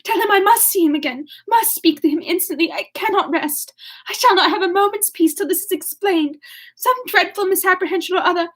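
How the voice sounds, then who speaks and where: An impetuous young heroine of a storybook romance, acted by a woman reader, pleads in a very high voice. She is heartsick and urgent, exclaiming her way through every line. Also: brisk, fairly bright tone. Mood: desperate.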